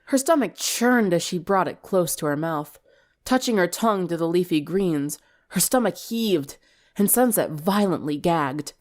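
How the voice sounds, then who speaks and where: A woman narrating a story dramatically in an expressive, theatrical way. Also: fairly low pitch, unhurried. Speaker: fan fiction reader.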